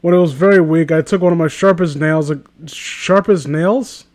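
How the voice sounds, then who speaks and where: A man with a notably high voice hosts a comedic read-aloud. He narrates, then cuts in with sarcastic, incredulous riffs and casual swearing. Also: moderate pace, a little mellow. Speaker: host reading a story aloud.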